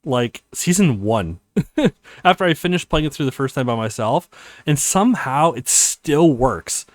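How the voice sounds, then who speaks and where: A man giving casual, rambling commentary as host of a video game let's play, pausing hesitantly as he explains. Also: mid-range voice, lively intonation, dry recording, studio quality.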